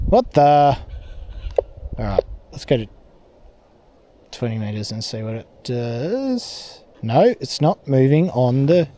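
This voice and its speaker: A man's voice: mid-range voice, dark tone, a little hiss or hum. A man giving casual, distracted running commentary, thinking aloud as he operates an amateur radio.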